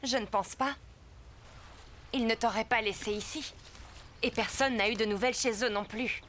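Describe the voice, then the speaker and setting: A woman's voice: fairly high pitch, normal speed, a bit bright, lightly acted. Actress as an anxious young woman, faint noise behind. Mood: worried. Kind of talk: French cartoon dub.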